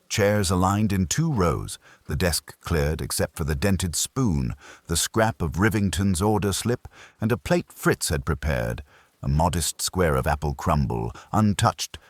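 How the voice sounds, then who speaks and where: A man with a husky voice reading a scripted first-person detective story. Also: low-pitched, very animated, measured pace, dry recording. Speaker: audiobook narrator.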